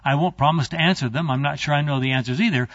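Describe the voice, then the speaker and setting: A man's voice: on the high side, quick pace, a bit bright, dry recording. A man teaching a Bible class in a conversational lecture style, wandering into asides and speaking directly to his students. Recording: dry, slightly muffled recording.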